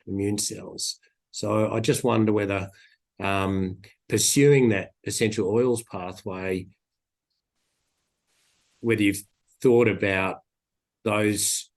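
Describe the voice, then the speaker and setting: A man in the audience asking a long, tentative question with many ums. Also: lowish voice. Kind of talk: academic question.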